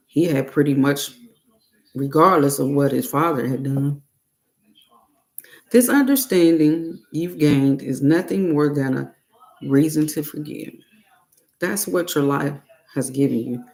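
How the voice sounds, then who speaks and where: A woman reading a guided meditation in a deliberate way, breaking her phrases with long pauses. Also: sits low, vocal fry, a little mellow, low-quality recording. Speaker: meditation and self-help reader.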